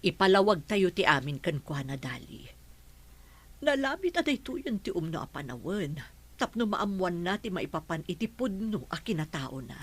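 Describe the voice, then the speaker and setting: Low-voiced Filipino mother, expressive, played by a woman. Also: moderate pace, breathy edge. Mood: reassuring. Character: caring, worried middle-aged mother of the household. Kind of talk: Filipino radio drama acting.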